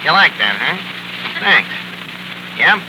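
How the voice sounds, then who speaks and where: A man's voice: fairly high pitch, big pitch swings, slow pace. An actor playing a bumbling, cocky male tavern manager with a New York accent, wisecracking and mangling words in a 1940s radio comedy. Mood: cajoling, breezy. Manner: wisecracking, self-important malapropisms. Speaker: comedy show lead. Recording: noisy 1940s radio broadcast recording.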